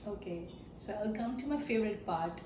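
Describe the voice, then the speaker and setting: A woman's voice: medium pitch, moderate pace. A woman interviewing a friend for a class assignment, chatty and using frequent fillers. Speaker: student interviewer. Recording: echoey room, from a distance, noisy.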